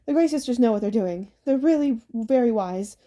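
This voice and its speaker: Woman reading as a brisk, high-voiced know-it-all girl. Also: animated, mellow, close to an everyday voice. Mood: reassuring.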